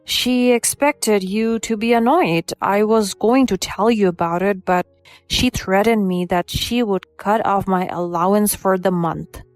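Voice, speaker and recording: A woman's voice: medium pitch, measured pace, dry recording, faint music behind, natural voice. A woman voicing a timid young husband who is a mama's boy, hesitant and defensive. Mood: nervous.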